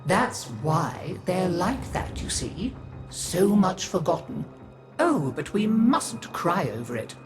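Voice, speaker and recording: An actress playing a kindly, dotty old grandmother in a game, speaking in a gentle, folksy singsong. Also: lowish voice, very animated, slow, soft background music. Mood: wistful, soothing. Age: older. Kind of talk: video game character acting.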